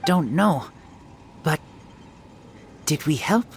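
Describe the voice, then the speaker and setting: A man voicing a wild, wolf-raised young man who speaks in halting, broken phrases. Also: expressive, slight background noise, exaggerated voice. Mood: wary. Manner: halting broken speech, low and slow.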